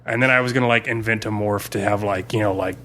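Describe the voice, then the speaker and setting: A man's voice: fairly low pitch, steady tone, quick pace, fairly bright tone, slight background noise. A man talking as a game master in a casual podcast discussion, explaining in an informal, sweary, rambling way.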